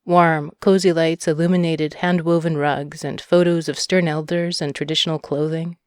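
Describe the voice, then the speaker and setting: A woman's voice: sits low, flat delivery, normal speed, dry recording. Woman narrator, close dry sound. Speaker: audiobook narrator. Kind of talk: scripted short story narration.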